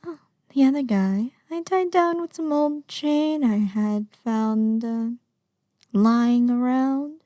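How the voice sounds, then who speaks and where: Woman acting obsessive young yandere kidnapper, higher-pitched, sweetly menacing, breathy murmur, ASMR roleplay, very dry sound. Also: slow, mellow, dry recording. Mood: possessive, doting. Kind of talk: intimate ASMR audio roleplay monologue.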